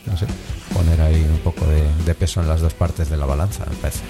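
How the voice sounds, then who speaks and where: A middle-aged man with a Cantabrian Spanish accent, hosting a casual radio chat in a relaxed, slangy way. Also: very deep voice, fast. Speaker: radio host. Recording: music playing under the voice.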